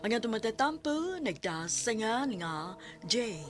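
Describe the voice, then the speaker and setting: Hinay mosulting Cebuano nga babayeng tigpahibalo. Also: female voice, fairly high pitch, big pitch swings, unhurried, music in the background. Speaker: radio drama announcer and narrator. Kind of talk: scripted radio narration.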